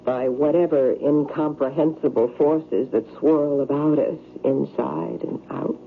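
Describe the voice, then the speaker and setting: A grave, cryptic doctor in a vintage radio play. His voice sits a bit high for a man, and his delivery is formal, firm and portentous. The recording is tinny with faint noise. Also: fairly even intonation, a little slow, creaky. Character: grave, cryptic male doctor interpreting a patient's dream. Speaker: actor in a radio drama. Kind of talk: old-time radio drama acting.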